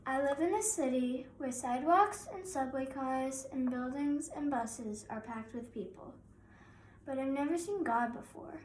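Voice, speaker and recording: Child female narrator, around nine: high voice, measured slow storybook reading, recorded in an echoey room. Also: distant mic. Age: child, about nine. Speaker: reader of a picture book. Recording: roomy echo, faint background noise.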